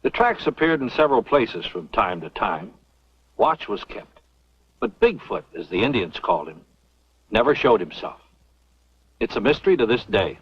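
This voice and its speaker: An actor playing a kindly, thoughtful older professor with a husky voice and a measured, avuncular way of explaining, in a vintage Western radio drama. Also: male voice, on the low side, big pitch swings, no echo. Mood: intrigued, explanatory. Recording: dull old radio recording, faint music.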